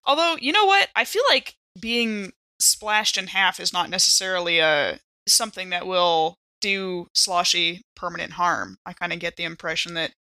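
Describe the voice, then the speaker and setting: Bright-voiced woman playing a tabletop game. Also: on the low side, moderate pace, few pauses, dry recording. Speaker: player in a tabletop roleplaying game. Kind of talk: casual, improvised game-table chat.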